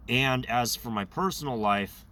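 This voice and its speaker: A man hosting his own channel, talking in a loose, rambling monologue with a raspy, slightly nasal voice, congested and over-caffeinated. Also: mid-range voice, unhurried, bright, forceful tone, far from the mic. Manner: rambling, self-correcting. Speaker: channel host. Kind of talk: casual monologue.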